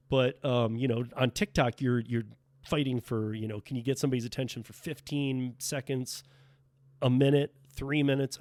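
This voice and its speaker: A man hosting a solo podcast, slightly hoarse from losing his voice, chatting casually with lots of fillers and restarts. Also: mid-range voice, a little mellow, no room sound. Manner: rambling, many fillers and restarts. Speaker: podcast host. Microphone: podcast microphone.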